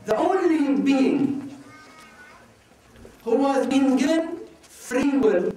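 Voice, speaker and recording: A man from Iraq answering audience questions at a religious talk, speaking slowly and deliberately and often asking rhetorical questions. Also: very high voice, expressive, dark tone, slight background noise. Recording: echoey hall, mic far off.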